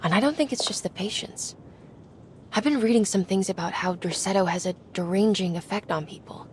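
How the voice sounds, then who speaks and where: A woman's voice: medium pitch, fairly quick, slight background noise, natural voice. Actress as earnest, determined young woman investigator. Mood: concerned, hushed. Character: worried, determined young woman investigating her family's mystery. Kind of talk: video game cutscene acting. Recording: background music under the voice.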